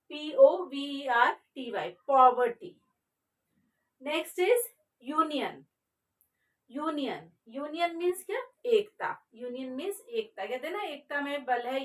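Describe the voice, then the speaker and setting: A high-voiced woman with an Indian accent teaches a spoken English class at a slow, deliberate pace. She spells each word letter by letter and repeats it for her students. Her voice sounds a little distant from the microphone. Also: a bit bright. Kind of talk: spoken English lesson in Hindi and English.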